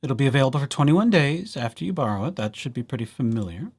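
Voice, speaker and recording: A man giving a casual software walkthrough as a librarian, in a playful, wry and chatty way. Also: medium pitch, animated, fast, slightly rough. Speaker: librarian presenting a tutorial series.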